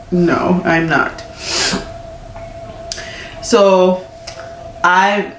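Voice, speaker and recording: Young woman, stuffy-nosed, frank home vlogger; lower voice, roomy sound from a distant mic. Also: slight background noise. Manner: candid, chatty, laughing often. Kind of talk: casual pregnancy chat. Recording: echoey room, mic at a distance.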